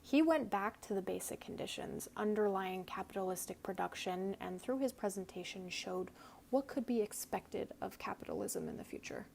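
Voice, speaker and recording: A woman reads an essay aloud as a dramatic performance, deliberate and theatrical, with pointed pauses. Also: mid-range voice, vocal fry, darkish tone. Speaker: reader. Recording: noticeable background noise.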